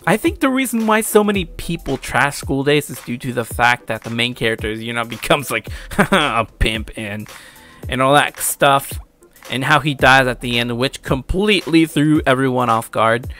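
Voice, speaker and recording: Bright-voiced young man, casual and slangy. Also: medium pitch, expressive, moderate pace, faint music behind. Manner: chuckles, casual slangy swearing. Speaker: video creator giving anime commentary. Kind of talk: casual opinionated commentary.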